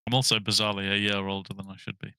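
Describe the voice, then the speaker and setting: A man's voice: lowish voice, monotone, racing, sharp tone, smooth. A man chatting as a player in an online tabletop game, jokey and talkative. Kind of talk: casual chat at a game table.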